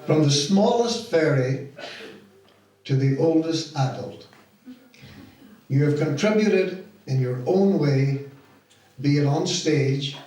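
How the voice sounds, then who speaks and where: A middle-aged or older man with a Donegal Irish accent gives an informal, deliberate thank-you speech to an audience as a pantomime director. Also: a little high, expressive, mellow. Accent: Irish, County Donegal. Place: stage of a community hall. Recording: very echoey hall, distant mic, faint noise.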